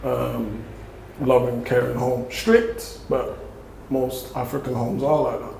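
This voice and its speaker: A man's voice: mid-range voice, flat delivery, slow, gravelly, darkish tone. A young man from South London with a South London accent, a guest giving laid-back, rambling answers in a casual interview. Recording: echoey room with faint noise.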